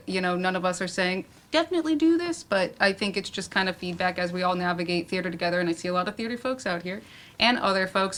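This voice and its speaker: A woman's voice: on the low side, fairly bright tone. A woman speaking casually and informally as the moderator of a panel at a public event. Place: public library event space.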